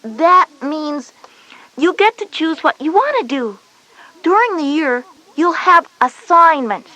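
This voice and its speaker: A schoolgirl big sister, with a high voice and lively swooping intonation. She explains things patiently but a little bossily, stressing her points in a scripted children's educational story. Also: slow, exaggerated voice, poor audio. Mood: reassuring, explanatory. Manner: explanatory, emphatic. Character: knowing, patient but slightly bossy older sister Jenny, schoolgirl.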